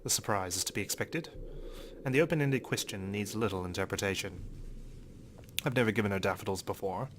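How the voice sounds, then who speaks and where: A man's voice: fairly low pitch, brisk, noisy background. A man reading visual novel text aloud as a let's player, with occasional brief commentary.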